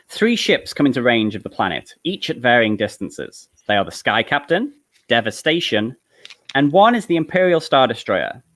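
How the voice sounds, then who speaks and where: A man's voice: mid-range voice, very animated, moderate pace, fairly bright tone. A man reading stage directions as narrator in a live comedic table read, with an amused manner.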